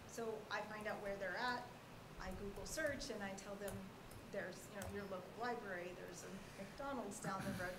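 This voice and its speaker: A woman working as a school family support advisor, speaking loudly and earnestly as she explains her work at a public board meeting. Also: mid-range voice, fairly even intonation, normal speed, few pauses, noisy background.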